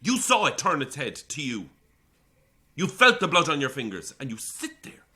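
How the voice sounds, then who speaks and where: A man's voice: a little high, huge pitch swings, moderate pace, background noise. An actor playing a fervent religious man who believes in miracles, insistent, preachy and combative in an audio drama. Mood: impassioned, indignant. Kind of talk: naturalistic audio drama acting.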